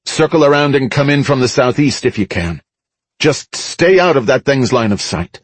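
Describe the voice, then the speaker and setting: A man's voice: mid-range voice, expressive, moderate pace, husky, no room sound, pitched above the performer's own voice. Male narrator as armored soldier: commanding, hard-nosed, blunt, urgent, audiobook drama.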